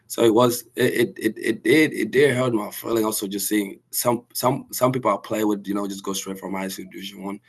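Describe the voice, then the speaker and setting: A young man from Sudan speaks in a very low, level voice. He answers softly in a casual interview and restarts often, searching for the words. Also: steady tone. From South Sudan. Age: young adult. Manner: soft-spoken, halting, with many restarts and fillers. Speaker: college basketball player, guest.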